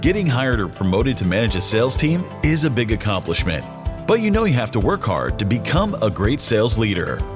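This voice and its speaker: Lively male announcer, scripted show intro, guitar bed behind. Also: medium pitch, fairly quick. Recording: voice over guitar music.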